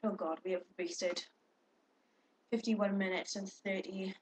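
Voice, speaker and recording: A woman's voice: medium pitch, level tone, a little slow, a little mellow, a little hiss or hum, poor audio. A young woman chatting casually to her webcam, swearing freely and talking to viewers. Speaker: vlogger.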